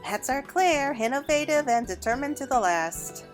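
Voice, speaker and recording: A woman voicing a perky, excitable young woman in a video game, in a bubbly, exclamatory manner. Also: high voice, moderate pace, faint music behind, voice pushed up. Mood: bubbly, excited.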